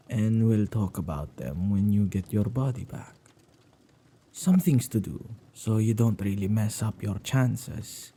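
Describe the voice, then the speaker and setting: A man playing a playful, possessive male witch in an ASMR roleplay, with a husky, dark voice and a teasing, theatrical, musing manner. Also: on the low side, full character voice.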